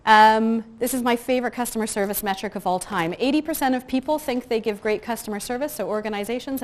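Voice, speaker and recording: A middle-aged woman with a soft, quiet voice giving a chatty conference talk about customer service, full of ums and light asides. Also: a little high, slight background noise. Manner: chatty, frequent ums and uhs, self-deprecating asides. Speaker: conference speaker. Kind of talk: conference talk to a live audience. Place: conference room with audience.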